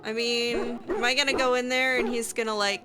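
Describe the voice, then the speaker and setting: A woman's voice: on the high side, little pitch movement, brisk, over music. A woman playing through a video game in character, chatting casually in a hesitant, wry way. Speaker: gameplay roleplayer voicing her character.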